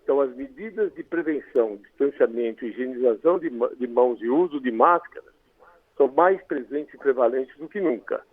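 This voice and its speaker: A man with a Brazilian Portuguese accent, an infectious disease doctor calmly explaining in a radio interview by phone. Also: mid-range voice, brisk, dry recording. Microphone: telephone. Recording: tinny phone line.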